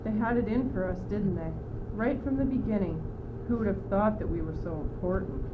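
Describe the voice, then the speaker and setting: A teenage female student acting a bewildered, wearily defiant young courtier in an amateur radio play, in an expressive theatrical way. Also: medium pitch, fairly quick, close to an everyday voice. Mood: hurt disbelief. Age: teens. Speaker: student actor. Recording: muffled, noisy old amateur recording.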